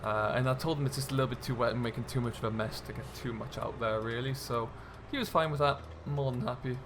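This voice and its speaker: A man's voice: medium pitch, fairly even intonation, fast talker. Easygoing man over engine noise. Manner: easygoing, chatty, thinking aloud. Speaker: gaming video host. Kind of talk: casual narrated game role-play commentary.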